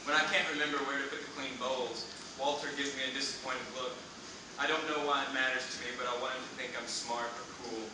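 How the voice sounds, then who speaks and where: A man's voice: mid-range voice, little pitch movement, fast talker. A man in his thirties reading his own personal essay aloud at a live university reading, with a dry, self-deprecating delivery. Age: 30s. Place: university reading event before a live audience. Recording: echoey room, distant mic, background noise.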